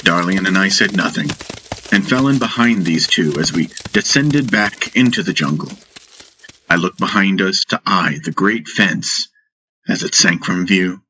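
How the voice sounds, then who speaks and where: Deep, slow-paced male storyteller. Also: lively intonation, bright, forceful tone, slight background noise, poor audio. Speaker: audiobook narrator. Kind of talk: scripted dramatic fantasy narration.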